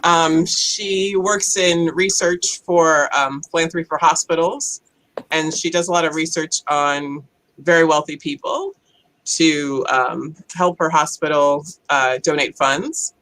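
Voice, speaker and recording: A woman pitching casually as a game contestant, with a somewhat halting, hesitant delivery. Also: fairly even intonation, a bit bright, low-quality recording.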